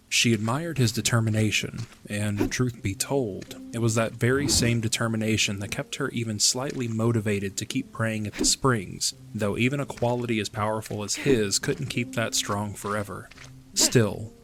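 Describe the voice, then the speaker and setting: Low-pitched man narrating fantasy story. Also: background noise.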